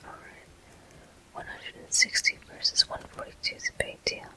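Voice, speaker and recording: A gaming host giving gentle, soothing ASMR commentary in an unhurried, breathy, unvoiced whisper.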